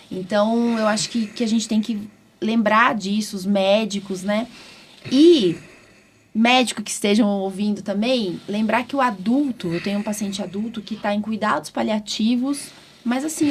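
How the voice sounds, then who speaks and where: Brazilian woman podcast host, noticeable background noise. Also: fairly high pitch. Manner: informal, often ends phrases with tag questions. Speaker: host and immunologist doctor. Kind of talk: conversational interview podcast. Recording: clearly noisy background.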